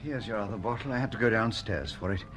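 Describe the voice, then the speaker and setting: A man's voice: on the low side, fast talker, close to an everyday voice. An actor playing a polite, hesitant male waiter in a radio drama, deferential in manner. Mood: obliging. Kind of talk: naturalistic radio drama acting.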